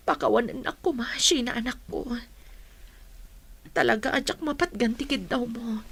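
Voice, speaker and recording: A woman's voice: a little high, creaky, fairly bright tone, husky, heavily acted. A woman playing a troubled, earnest mother with an Ilocano accent in a radio drama. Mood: pleading. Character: troubled, pleading mother of a student.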